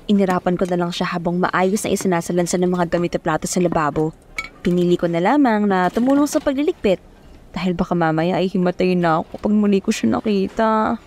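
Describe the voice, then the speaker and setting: A woman's voice: on the low side, quick pace, a little mellow, a little hiss or hum. A young woman narrating an audio romance serial in the first person, as a dramatic storyteller. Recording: music bed under the voice.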